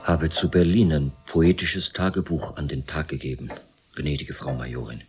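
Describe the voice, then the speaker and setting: An actor playing a weary, fervent, penniless wandering poet in a radio drama, reciting in a halting, declamatory way. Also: male voice, extremely low pitch, fairly even intonation, darkish tone, faint music behind. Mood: weary, lyrical. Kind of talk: German radio drama acting.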